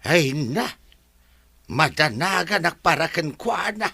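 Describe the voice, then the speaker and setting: A man doing a jokey loyal old driver, husky and bright. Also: fairly high pitch, lively intonation, takes it slowly, full character voice. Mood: worried. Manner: jokes and laughs a lot. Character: older male driver, jokey, loyal servant.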